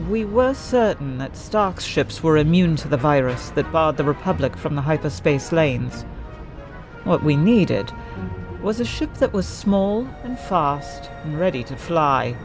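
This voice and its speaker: Guy playing a calm formal jedi telling a story, lightish higher voice, music behind. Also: unhurried. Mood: composed recounting. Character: composed Jedi recounting a daring escape. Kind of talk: dramatic narration and dialogue in comic dub. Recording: music bed under voice.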